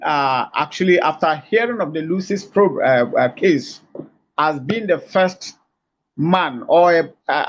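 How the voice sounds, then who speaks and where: A man from Africa, calling in, who argues in a halting, lecturing way full of fillers and restarts. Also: fairly high pitch, breathy edge. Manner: hesitant, many fillers and restarts. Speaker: caller. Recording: muffled lo-fi call audio.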